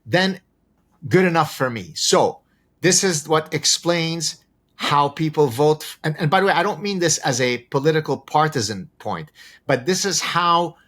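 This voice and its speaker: A man whose first language is Arabic, explaining ideas as a podcast guest in a deliberate, professorial way with rhetorical questions. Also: fairly high pitch, expressive, fairly bright tone. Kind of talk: conversational explanation with examples.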